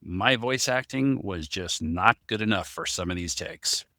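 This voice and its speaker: A male podcast co-host in relaxed back-and-forth discussion. His voice sits fairly low yet cuts through brightly, with a dry, close recording. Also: unhurried, no echo. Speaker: podcast co-host, story writer and amateur voice actor.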